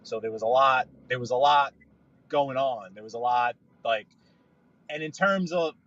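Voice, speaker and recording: A man's voice: medium pitch, moderate pace, fairly bright tone, no room sound, lo-fi sound. A man chatting on a live podcast from his car, telling stories in a rambling way with many restarts. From New York. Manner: rambling, storytelling, frequent restarts. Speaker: livestream guest. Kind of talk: casual live podcast chat while driving.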